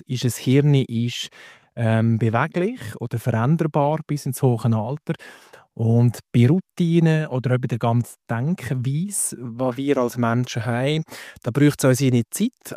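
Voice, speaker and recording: A man's voice: mid-range voice, mellow, no echo, studio quality. A man from Valais with a Valais Swiss accent, a coach explaining things conversationally as a podcast guest. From Valais, Switzerland.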